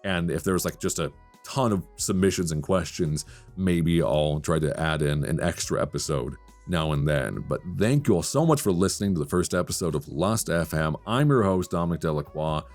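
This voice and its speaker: A man's voice: low-pitched, expressive, normal speed, a little mellow, soft background music. A man hosting a solo podcast in a casual, conversational way, speaking with enthusiasm and emphasis. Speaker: podcast host.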